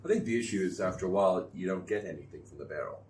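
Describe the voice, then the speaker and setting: A man's voice: sits low, animated, darkish tone, distant mic, a little hiss or hum. A man, a brewer guest telling a story in a casual radio chat. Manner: quick, storytelling. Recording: roomy, off-mic.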